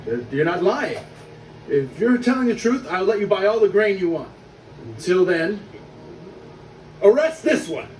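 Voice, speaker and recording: A man voicing a stern, bitter young lord of Egypt in an animated film, questioning and accusing in a commanding manner. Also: high voice, measured pace, long pauses. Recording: roomy, distant, noisy film soundtrack rip.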